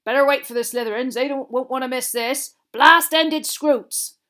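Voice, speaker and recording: A woman voicing a big, gruff, bearded male gamekeeper in a storybook, proudly enthusiastic, with folksy dropped consonants. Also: sits high, moderate pace, pushed and bright, voice pushed up. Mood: cheerful.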